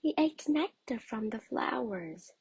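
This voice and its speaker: A woman reading a children's picture book aloud in expressive, breathy scripted narration. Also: fairly high pitch, a little slow, dry recording. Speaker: storybook reader.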